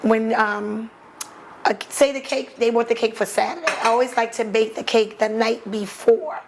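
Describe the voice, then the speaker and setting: A woman's voice: fairly high pitch, moderate pace, background noise. An older woman from New York with a New York accent, a baker interviewed as a guest, talking in an easygoing, plainspoken way. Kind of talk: casual interview.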